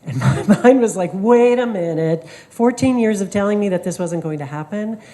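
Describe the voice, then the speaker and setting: A woman from the United States speaking reflectively on a panel, in a measured way. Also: mid-range voice, animated, dark tone. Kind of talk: panel discussion storytelling.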